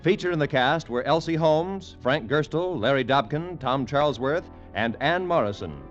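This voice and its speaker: An American man announcing a radio show from the 1940s or 50s in a formal, scripted, measured way. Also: on the high side, lively intonation, lo-fi sound. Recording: old radio broadcast, music underneath. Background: loud music.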